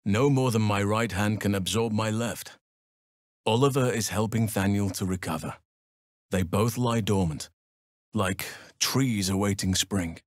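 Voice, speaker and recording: An actor playing a big, earnest druid healer in a video game, solemn and gentle, with measured, formal, old-fashioned phrasing. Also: male voice, on the low side, no room sound, clean studio sound, natural voice. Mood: solemn, reflective. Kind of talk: video game character voice acting.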